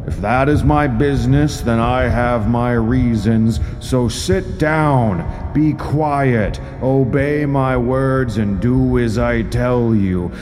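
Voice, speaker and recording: A man's voice: mid-range voice, slow pace, few pauses, a little mellow, big-room sound, faint music behind, lightly acted. A man voicing a domineering king of the gods, stern, imperious and threatening, in an audio drama. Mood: stern, menacing.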